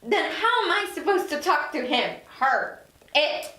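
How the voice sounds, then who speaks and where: High-pitched, indignant dead woman played by a woman. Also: sing-song, measured pace, sharp tone, heavily acted. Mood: sceptical. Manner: questioning, insistent, incredulous. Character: indignant, disbelieving forty-year-old woman newly dead. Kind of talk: table read of TV pilot. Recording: roomy echo, distant mic, faint noise.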